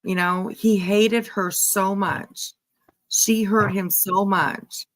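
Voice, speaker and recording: A woman host giving casual reaction commentary, musing in a halting, repetitive, emphatic way. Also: mid-range voice, fairly even intonation, poor audio. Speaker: host giving commentary on a true-crime case.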